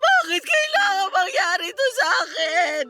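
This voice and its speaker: A woman's voice: very high-pitched, sing-song, normal speed, bright, forceful tone, heavily acted. A woman acting a grieving middle-aged mother in a Filipino radio drama, sobbing and pleading as she speaks. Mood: wailing anguish. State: crying. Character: grieving, hysterical middle-aged mother who lost her child.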